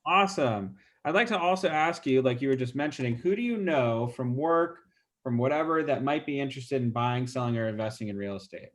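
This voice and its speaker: A real estate agent with a stuffy nose, casually walking through a call script with lots of fillers. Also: male voice, medium pitch, brisk. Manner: casual, chatty, many fillers. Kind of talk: role-play training demo.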